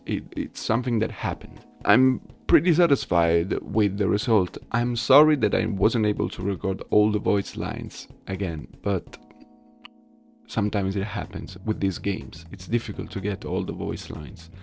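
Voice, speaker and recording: A soft-spoken man talking casually and haltingly to his viewers as an amateur voice actor presenting a video. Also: deep voice, creaky, faint music behind.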